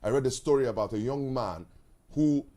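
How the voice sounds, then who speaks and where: A Nigerian man hosting a live talk show, reflective, with halting restarts and repeated words. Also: medium pitch, dark tone.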